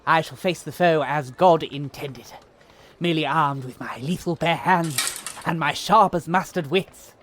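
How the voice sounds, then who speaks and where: A man's voice: on the high side, measured pace, noisy background, natural voice. A man playing a pompous, gung-ho medieval knight who speaks in mock-archaic style in a comic audio drama. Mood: undaunted bravado.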